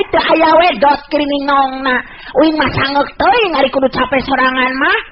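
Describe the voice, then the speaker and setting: Radio drama rogue: extremely high, swooping voice, crude and mocking, on dull noisy old tape. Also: male voice, big pitch swings, a little slow, heavily acted. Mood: grumbling, mocking. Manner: mocking, coarse colloquial talk. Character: Si Keling, crude, cackling, teasing rogue villain. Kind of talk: Sundanese radio storytelling drama.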